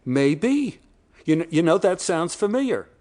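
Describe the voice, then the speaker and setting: An older man performs conversational audio drama dialogue. His voice sits a little high and moves animatedly, with a polite, agreeable and slightly hesitant manner. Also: big pitch swings, a little slow, darkish tone. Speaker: playwright acting himself in dialogue.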